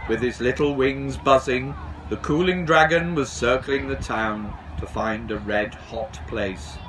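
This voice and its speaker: A middle-aged man reading a children's storybook aloud outdoors, in an animated, expressive way. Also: mid-range voice, a little slow, a little hiss or hum, rough recording. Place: outdoors on a garden bench.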